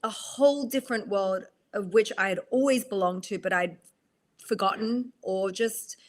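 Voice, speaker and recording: Australian woman, podcast guest, reflective, repeats words as she gathers thoughts, middle pitch. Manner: reflective, halting with repeated restarts. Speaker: guest, actress. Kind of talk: podcast interview.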